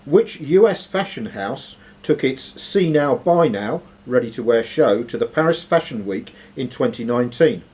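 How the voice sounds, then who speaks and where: A man's voice: medium pitch, lively intonation, normal speed, hardly any pauses, a little mellow. A man working as quizmaster, reading quiz questions aloud to contestants. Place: social club room with players around. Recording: noisy live room recording.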